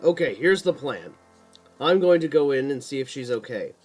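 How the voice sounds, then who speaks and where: A man's voice: medium pitch, big pitch swings, normal speed, slightly rough, soft background music. A man voicing a hot-headed young college student with superpowers in an audiobook, exclamatory and easily frustrated. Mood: planning.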